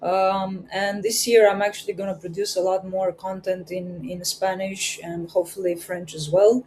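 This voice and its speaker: A woman's voice: mid-range voice, level tone, moderate pace, a little mellow, slight background noise. Thoughtful woman. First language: Romanian. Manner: hesitant, thoughtful, light laughs. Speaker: podcast guest. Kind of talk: casual interview answers.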